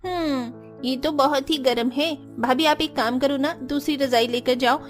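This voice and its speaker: A woman's voice: high voice, fairly even intonation, normal speed, soft background music, lightly acted. A woman voicing cheeky, lazy young sisters-in-law in a cartoon story, chirpy and wheedling. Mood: cheeky, demanding. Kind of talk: Hindi cartoon story acting.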